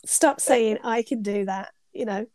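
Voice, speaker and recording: A middle-aged woman with a British accent talks in a fairly high voice, a bit congested from a cold. She tells chatty, meandering stories with plenty of ums, and the recording is close and dry. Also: measured pace, a little mellow, no room sound. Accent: British English. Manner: chatty, rambling storyteller with frequent ums and laughs. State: stuffed up with a cold. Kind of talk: casual conversational interview.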